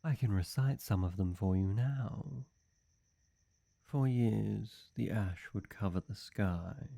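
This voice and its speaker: Man as a slow, suave, fawning demon servant. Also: fairly low pitch, vocal fry, warm tone, no room sound, exaggerated voice. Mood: reverent reciting. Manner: unhurried, silky, fawning, formal. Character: suave, flirty, obsessively devoted male demon servant. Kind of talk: scripted roleplay monologue addressed to the listener.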